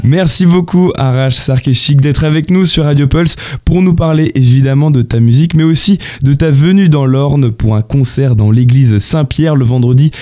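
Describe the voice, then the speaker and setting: A man's voice: a little high, moderate pace, hardly any pauses, dark tone, soft background music. A man hosting a casual radio interview, informal and asking open questions. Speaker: radio host.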